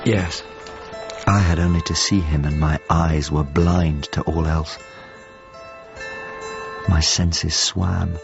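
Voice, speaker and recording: An actor playing a painter who wistfully recalls his lost master in a radio drama, speaking in intimate, measured, halting phrases. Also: rumbling low, fairly even intonation, creaky, highs cut off, over music, full character voice. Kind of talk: radio drama monologue and dialogue.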